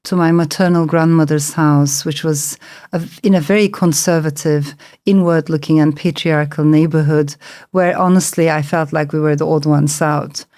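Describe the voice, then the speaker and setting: Thoughtful Turkish-born woman novelist, lower voice. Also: steady tone, moderate pace, warm tone. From Turkey. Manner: thoughtful, searching, with frequent fillers and restarts. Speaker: novelist guest. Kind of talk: reflective interview answers.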